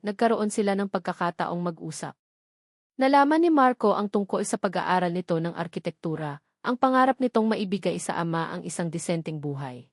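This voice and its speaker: Female Filipino narrator for dramatic romance audio story, dry recording, average pitch. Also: dry recording.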